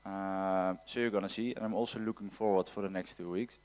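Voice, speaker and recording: Lower-voiced man, earnest coach. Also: fairly even intonation, a little fast, a little mellow. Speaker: youth football coach. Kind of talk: press conference self-introduction.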